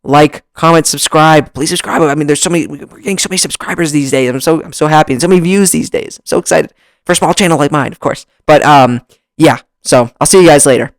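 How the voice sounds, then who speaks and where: A man hosting a casual vlog, talking excitedly with lots of restarts, his voice slightly hoarse from long recording, close on a desk mic. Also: a little high, fast talker, no room sound. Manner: excitable, rambling with many restarts. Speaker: YouTuber. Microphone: desk mic, bumped at times.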